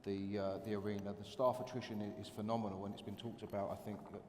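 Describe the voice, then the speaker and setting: Halting low-voiced man on a panel. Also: little pitch movement, a little mellow. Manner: halting, repeats words while building points. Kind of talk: conference panel discussion. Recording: echoey conference hall with faint noise.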